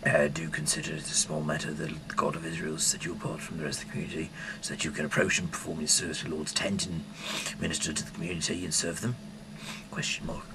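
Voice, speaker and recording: Very low-voiced, halting man, noisy recording. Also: flat delivery. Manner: halting, bumbling, irreverent. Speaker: amateur reader of scripture, self-styled rock singer. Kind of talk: rambling, informal reading aloud with asides. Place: at home. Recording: noisy amateur home recording.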